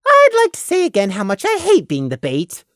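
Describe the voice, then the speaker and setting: A small, secretive boy lamb from a storybook, acted by a narrator, high in pitch. The delivery is sardonic and complaining, jumping about in pitch. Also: wildly swooping pitch, moderate pace, a bit bright, no echo, studio quality, heavily acted. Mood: indignant, sarcastic.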